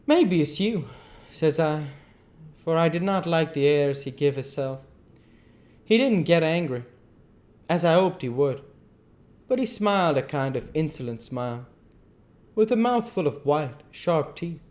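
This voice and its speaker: A man's voice: a little high, animated, unhurried, a little mellow. A man reading a classic novel aloud as an amateur narrator, stumbling and repeating phrases as he goes. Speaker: amateur audiobook reader.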